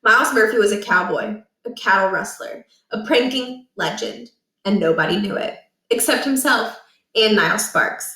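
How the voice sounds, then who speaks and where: A woman's voice: mid-range voice, normal speed, bright, forceful tone, far from the mic. A woman reading aloud as a storyteller, narrating a children's book from the text. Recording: echoey, roomy home recording.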